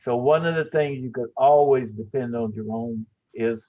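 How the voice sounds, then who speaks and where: A man in his sixties giving a personal tribute, telling stories slowly with many "uh" fillers. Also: mid-range voice. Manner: frequent "uh" fillers, measured storytelling. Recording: tinny phone-like audio.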